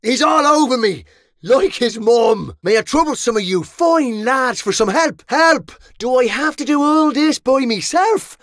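A man with an Irish accent voicing a cheeky, rough mercenary in a medieval battle video game, slangy, brash and theatrical. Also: very high voice, lively intonation, a little slow, a bit bright, dry recording, clean studio sound, full character voice. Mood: pleading, urgent.

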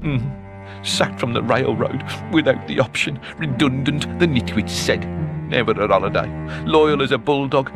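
An actor voicing a pompous, self-pitying old sea-creature admiral in a video game, grumbling theatrically. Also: male voice, mid-range voice, normal speed, natural voice. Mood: bitter, grumbling. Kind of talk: video game character acting. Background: loud music.

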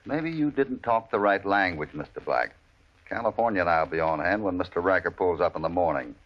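A cowboy hero from a vintage radio Western. He speaks in a fairly low voice with dry, steady authority. The recording is dull and dated. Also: a little fast. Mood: dry, calm. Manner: calm, dry, understated. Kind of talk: old-time radio Western acting.

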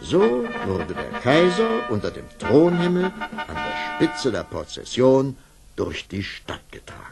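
A man narrating a scripted fairy tale as an expressive storyteller. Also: medium pitch, a little slow, gravelly, over music.

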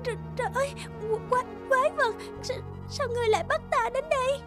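A woman's voice: extremely high pitch, brisk, music in the background, voice pushed up. A woman voicing an anxious young maiden in a cartoon fairy tale, with an exclaiming, emotional delivery. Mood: terrified. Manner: trembling, stammering, exclaiming. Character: frightened young woman held by a beast. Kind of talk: animated fairy-tale dubbing.

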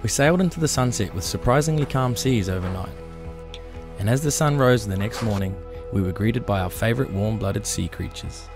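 A young man hosting a sailing vlog, telling the trip in a relaxed, matter-of-fact way. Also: on the low side, brisk, gravelly, over music. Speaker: sailing vlog host and skipper. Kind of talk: casual travel narration.

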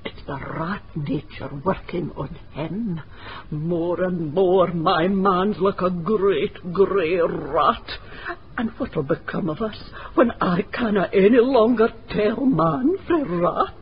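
Radio drama: morbid Scottish housekeeper, drawn-out delivery, lo-fi sound. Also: female voice, lowish voice. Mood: haunted, fretful. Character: gloomy rat-obsessed Scottish housekeeper, a married woman. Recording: dull lo-fi radio recording, background hiss.

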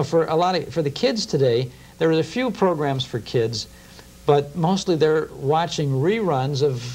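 A man's voice: a little high, creaky, darkish tone, rough recording. A middle-aged American man talking conversationally as an interview guest, reminiscing in a studio. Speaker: guest, radio show host. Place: TV studio at a round table. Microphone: lapel. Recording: faint hum and hiss, old TV tape.

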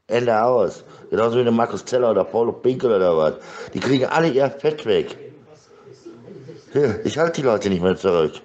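A man's voice: medium pitch, lively intonation, moderate pace, a little mellow. A middle-aged man in a rambling, insistent and repetitive informal monologue, talking into a phone. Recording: dull lo-fi phone audio.

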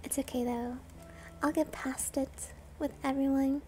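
Female game streamer, high soft-edged voice, unhurried, playful and self-deprecating chatter. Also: level tone, a little hiss or hum. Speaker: streamer playing a video game. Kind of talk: casual gameplay commentary.

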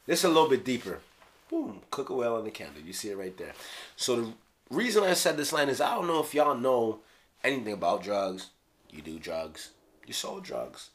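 A Jamaican man in his thirties casually explaining his own rap lyrics in a small room, playful and slangy, sometimes dropping to a hush. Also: mid-range voice, very animated, moderate pace. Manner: playful, slangy, drops into hushed asides. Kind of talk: casual solo video commentary. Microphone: studio mic on stand.

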